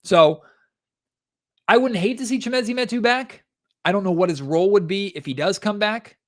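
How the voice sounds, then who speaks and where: A man's voice: high-pitched, normal speed. A man hosting a sports podcast solo, giving commentary in a conversational way and speaking directly to his listeners.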